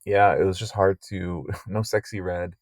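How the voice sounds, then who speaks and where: A young man co-hosting a podcast, crude and swearing, joking in a deadpan way. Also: low voice, moderate pace, dry recording, clean studio sound. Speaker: podcast co-host. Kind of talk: casual chat.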